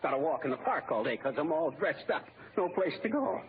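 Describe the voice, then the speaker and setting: Henpecked husband, radio comedy, male actor: high, swooping voice, meek, whiny and stammering, on a tinny old broadcast. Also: lively intonation, fairly quick, close to an everyday voice. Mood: grumbling. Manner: pleading, stammering, exasperated. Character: flustered, whining henpecked husband and meek office clerk.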